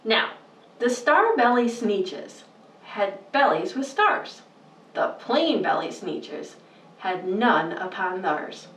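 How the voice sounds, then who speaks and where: Slow, very animated woman storyteller. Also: mid-range voice. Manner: exaggerated, sing-song emphasis for young children. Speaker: children's storyteller. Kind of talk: animated bedtime story reading. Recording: roomy, distant microphone.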